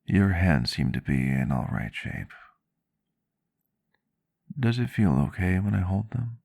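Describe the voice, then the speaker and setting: Gentle vampire doctor played by a man, bottomless bass, soothing and courteous, close dry ASMR recording. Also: very animated, measured pace, creaky, no room sound, full character voice. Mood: tender, reassuring. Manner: soft-spoken, formal wording, reassuring. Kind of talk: roleplay ASMR, soft intimate acting. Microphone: close microphone, dry sound.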